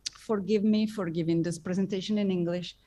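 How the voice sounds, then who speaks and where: A woman's voice: fairly low pitch, steady tone, fairly quick, dark tone. Woman, academic talk, lecture hall, earnest, occasional ums. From Italy. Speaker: guest speaker and author. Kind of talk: academic presentation.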